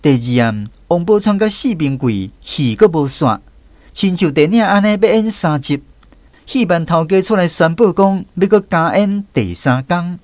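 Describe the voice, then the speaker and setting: Animated Taiwanese-accented male storyteller. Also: on the high side, moderate pace, no echo. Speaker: radio drama narrator. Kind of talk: scripted storytelling narration in Taiwanese.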